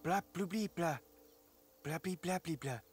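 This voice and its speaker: A man playing a wary, lost young adventurer in a video game, speaking in halting short phrases. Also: a little high, lightly acted. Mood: wary, curious. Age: young adult. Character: young male adventurer, wary, lost, talking to his troll. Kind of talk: video game cutscene acting. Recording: loud music behind.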